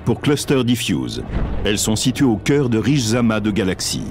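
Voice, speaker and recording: A man giving scripted documentary narration in a measured, explanatory way. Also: fairly low pitch, very animated. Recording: dubbed voice-over with music behind. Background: music.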